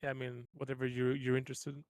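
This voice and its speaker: A man with a medium-pitched voice chats casually as a tabletop game player. The sound is dry, with hardly any room on it. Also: flat delivery, fast talker, no room sound.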